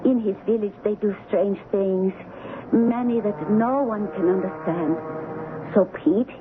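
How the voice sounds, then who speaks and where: A woman's voice: medium pitch, a little slow, close to an everyday voice. An actress playing a devoted young woman in love, in 1970s radio drama style. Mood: grave, secretive. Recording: tinny, noisy old radio broadcast.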